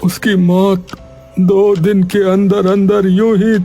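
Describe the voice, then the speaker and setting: A man plays a bitter older villager in an audio story, worn down by grief. He talks extremely slowly and haltingly, with a gravelly creak in a fairly high-set male voice. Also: flat delivery, mellow, faint music behind, exaggerated voice. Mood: grim. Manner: halting, heavy, despairing delivery. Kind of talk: acted Hindi audio story dialogue.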